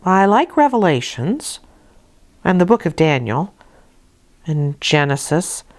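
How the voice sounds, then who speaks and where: A woman reads the part of a small girl in a classic storybook, earnest and quietly defiant. Her answers are candid and plain, in a fairly low register for a female voice. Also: big pitch swings, slow. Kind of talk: dramatized audiobook reading.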